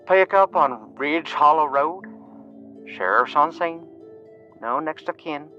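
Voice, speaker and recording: A man voicing a tired police dispatcher in an audio story, flat and clipped, through a crackly telephone filter. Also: a little high, slow pace, faint music behind, pitched above the performer's own voice, exaggerated voice. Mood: flat, businesslike. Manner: clipped, flat, matter-of-fact. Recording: crackly, band-limited phone line.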